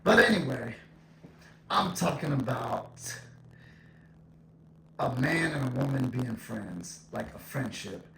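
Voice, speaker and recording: Gravelly, echo-laden man talking casually. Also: mid-range voice, expressive, takes it slowly, pauses often, sharp tone. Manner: conversational, repeats points for emphasis. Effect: echo voice effect. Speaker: vlogger giving opinions. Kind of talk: casual opinion monologue to camera. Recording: echoing voice with faint noise.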